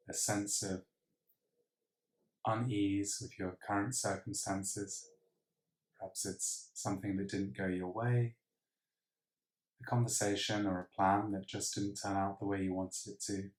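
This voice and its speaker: Deep, slow-speaking Englishman in a roomy space. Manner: measured, reflective, with pauses. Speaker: meditation teacher. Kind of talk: guided meditation. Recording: roomy, echoing sound.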